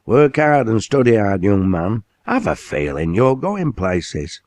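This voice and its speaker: A man voicing a blunt, hearty older male factory owner with a broad Lancashire dialect, in a commanding, brisk manner. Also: fairly low pitch, big pitch swings, a little mellow, no echo, pitched above the performer's own voice.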